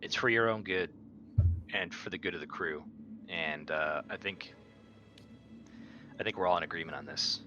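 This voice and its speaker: A man playing a tabletop roleplaying game, speaking casually and deliberately, partly in character. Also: sits low, lo-fi sound, background noise.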